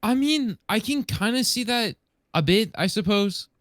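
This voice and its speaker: A man's voice: high-pitched, expressive, a little slow, fairly bright tone, dry recording, clean studio sound. A man hosting a casual podcast discussion, speaking in an informal, rambling way. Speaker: podcast host.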